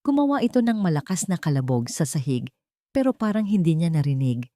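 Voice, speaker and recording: A woman's voice: on the low side, sing-song, fairly quick, dark tone, dry recording, studio quality. A woman with a Filipino accent narrating a scripted romantic drama as a storyteller.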